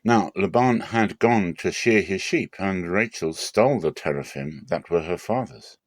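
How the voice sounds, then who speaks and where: Low-voiced guy reading the bible, measured, dead dry sound. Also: dry recording. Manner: measured, falling phrase ends. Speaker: Bible reader. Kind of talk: dramatic scripture narration.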